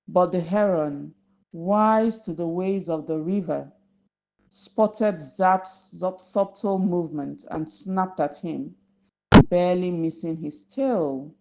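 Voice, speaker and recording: A woman narrating a folktale for young children as a storyteller, deliberate and instructive. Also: lowish voice, mellow. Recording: slightly dull lo-fi recording.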